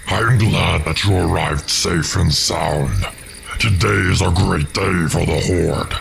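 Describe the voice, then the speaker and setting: A man playing a fierce, loyal, battle-hardened orc warrior with a gravelly voice, menacing and martial, in a fantasy game. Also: low voice, a bit bright, slight background noise, full character voice. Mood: proud, bloodthirsty. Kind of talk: fantasy game cutscene acting. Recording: music under the voice.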